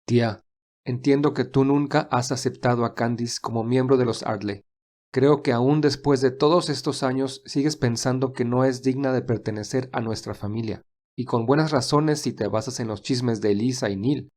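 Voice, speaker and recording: A man's voice: mid-range voice, fairly even intonation, darkish tone, lightly acted. A man playing a courteous, composed heir in an audio drama, speaking formally and with polite firmness. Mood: calm, firm. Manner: formal, measured, politely firm. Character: composed, firm, courteous wealthy nephew and heir.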